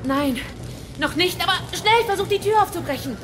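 A woman's voice: extremely high pitch, lively intonation, normal speed. An actress playing a commanding female rebel leader in a Victorian action drama, giving terse, urgent orders. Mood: relieved, urgent. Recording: very loud music under the voice.

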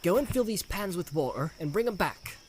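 A man's voice: sits high, animated, a little fast, a little mellow, a little hiss or hum, voice pushed up. A man voicing a loyal, plain-spoken hobbit servant with blunt, homely, rustic speech in a storybook reading. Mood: bossy, scolding.

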